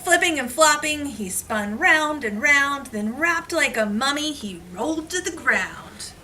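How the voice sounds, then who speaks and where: A woman teacher giving an animated, expressive children's read-aloud, pausing to explain things, recorded from a distance in a classroom. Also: sits high. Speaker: elementary school teacher reading aloud. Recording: noisy classroom, distant mic.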